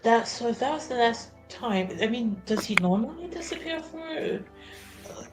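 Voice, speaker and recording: A woman's voice: on the high side, animated, moderate pace, over music. A woman playing a tabletop roleplaying game, talking in casual, improvised table conversation.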